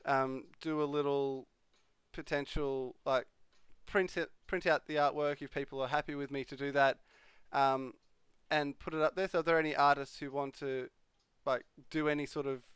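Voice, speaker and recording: A man's voice: a little high, level tone, moderate pace, a bit bright, smooth, no room sound. A young man hosting a live stream, chatting casually and answering viewers' questions. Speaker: livestream host. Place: home streaming room.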